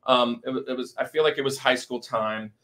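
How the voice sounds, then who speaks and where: A man's voice: mid-range voice, steady tone, brisk, pushed and bright. A man hosting a movie ranking podcast on a video call, chatting casually and repeating phrases for emphasis with bro-style slang. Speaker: podcast host.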